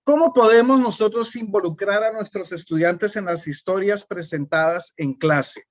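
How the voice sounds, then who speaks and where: A middle-aged man with a Colombian accent presents a teaching webinar in a calm, unhurried way and often checks in with his listeners. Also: sits high, dry recording. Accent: Colombian Spanish. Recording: dull, dry, lo-fi webinar audio.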